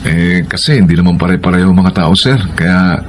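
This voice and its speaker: A man's voice: low-pitched, moderate pace, a little hiss or hum, lightly acted. A man with a Filipino accent playing a humble, hardworking, poor security guard in a radio drama, speaking politely and hesitantly. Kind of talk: Filipino radio drama acting and first-person narration. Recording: music bed behind the voice.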